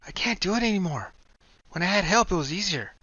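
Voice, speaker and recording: A frightened, exhausted young woman voiced by a male narrator in a raised voice pleads in a weary way. Her tone is bright and her pitch rises and falls. The audiobook recording sounds dull and lo-fi. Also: lively intonation, normal speed, dry recording. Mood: pleading.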